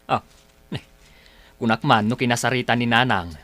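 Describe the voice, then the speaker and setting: A man's voice: mid-range voice, steady tone, moderate pace, sharp tone, no room sound, natural voice. A man playing a helpful grown eldest son in a radio drama, with a Filipino accent. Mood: concerned, practical. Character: grown eldest son trying to help his parents. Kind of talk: Ilocano radio drama acting.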